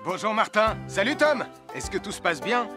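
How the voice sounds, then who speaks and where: A friendly cartoon firefighter hero with a high, animated male voice. He is upbeat and instructive, and music runs behind him. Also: moderate pace. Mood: alert, friendly. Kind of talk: French cartoon dub.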